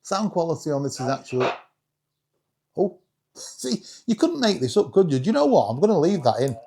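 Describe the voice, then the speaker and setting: British man, husky, mellow tone, mid pitch, chatty video reviewer, self-deprecating humour. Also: big pitch swings, fairly quick. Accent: British English. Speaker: video creator reviewing radios. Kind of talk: casual hobby review to camera.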